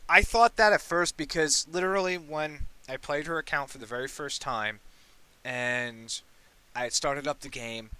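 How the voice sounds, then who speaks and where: A young man with a fairly high, bright and forceful voice hosts a casual gaming podcast from a home mic. He rambles excitedly, swears offhandedly and jumps from point to point. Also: unhurried, clean tone. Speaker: podcast host.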